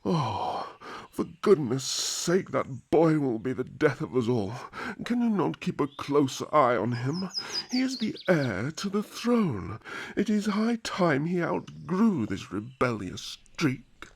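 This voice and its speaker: Man playing a husky, blustering old-fashioned elf king. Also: fairly high pitch, very animated, slow pace, heavily acted. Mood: exasperated. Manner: regal, blustering, stubborn. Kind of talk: fantasy audio roleplay acting.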